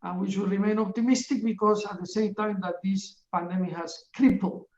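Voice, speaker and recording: A middle-aged man from Ecuador, chairing a webinar in a formal, earnest opening address, halting often with fillers. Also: high voice, fairly even intonation, a little mellow. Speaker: webinar chair, UN division director. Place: office in a large UN building. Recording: echoey, distant and dull-sounding.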